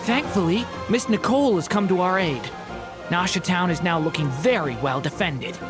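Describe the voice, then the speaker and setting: A bright-voiced, cheerful young defender. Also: male voice, sits high, lively intonation, moderate pace, music in the background. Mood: friendly. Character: friendly, upbeat young male defender. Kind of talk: video game cutscene dialogue.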